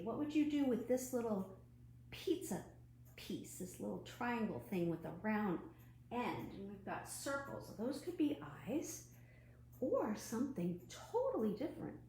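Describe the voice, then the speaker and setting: A woman with a highish voice leads storytime for children at an unhurried pace. She is animated and keeps asking her young viewers questions. The room she speaks in is noticeably echoey. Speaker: children's librarian hosting an online storytime. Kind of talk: children's storytime read-aloud and craft demonstration. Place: at home, indoors. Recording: roomy echo, faint background noise.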